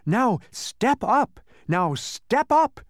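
A man voicing a small, furry, insincere demon from a storybook, with emphatic, drawn-out proclaiming. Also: very high-pitched, sing-song, dry recording, clean studio sound, voice pushed up, full character voice. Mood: insistent, urging. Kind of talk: storybook character voice.

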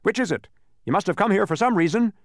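A man voicing a pompous, impatient storybook gateman with a booming, officious, proclaiming manner. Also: sing-song, a little fast, creaky, no echo, studio quality, full character voice. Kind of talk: children's storybook character voice.

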